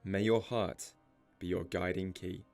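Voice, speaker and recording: A man with a fairly low voice narrates a scripted lore explainer over a music bed. His delivery is measured and reflective, often framed as musing questions. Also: fairly even intonation, creaky. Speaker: video essay narrator. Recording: music under the voice. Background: background music.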